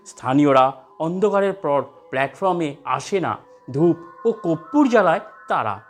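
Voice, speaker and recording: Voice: mid-range voice, lively intonation, moderate pace, soft background music. A narrator reading a scripted horror story.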